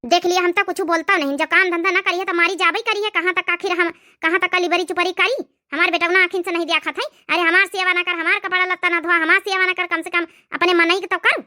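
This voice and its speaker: A woman's voice: very high voice, steady tone, fast talker, few pauses, bright, forceful tone. A woman voicing an aggrieved older village mother-in-law in an Awadhi-accented cartoon comedy, rattling off complaints. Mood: angry, fed up. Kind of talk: animated family comedy dialogue.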